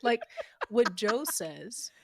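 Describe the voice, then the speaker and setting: A woman's voice: fairly high pitch, animated, very slow, dry recording. Enthusiastic woman chatting in dry sound. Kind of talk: casual group chat.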